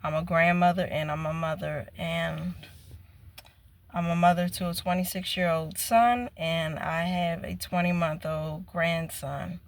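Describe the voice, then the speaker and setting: A woman in her fifties talking slowly and earnestly to her viewers in a casual personal vlog. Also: lowish voice, fairly even intonation. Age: 50s. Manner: slow, reflective, earnest. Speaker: vlogger.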